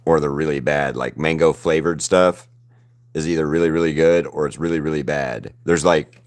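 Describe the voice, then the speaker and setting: A man's voice: extremely low pitch, fairly even intonation, normal speed. A man in his thirties with a mild Southern US accent, chatting loosely and rambling while he paints live, cursing casually. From Southern United States. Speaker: artist streaming live.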